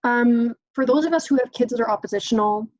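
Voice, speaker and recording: A woman's voice: fairly high pitch, fast talker, darkish tone, dry recording. Chatty woman teaching caregivers, dull recording. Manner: chatty, acts out parent-child exchanges, frequent "um"s. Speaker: parenting workshop presenter. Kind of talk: informal teaching talk.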